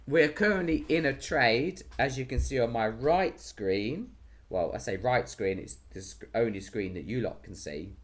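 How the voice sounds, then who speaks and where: A British man with a hoarse, worn voice, chatting casually as the host of a live stream. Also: mid-range voice, expressive, moderate pace. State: hoarse from losing his voice.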